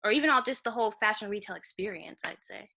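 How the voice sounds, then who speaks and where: Bright-voiced woman hosting a chat. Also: mid-range voice, fast. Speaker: host. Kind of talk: interview questions.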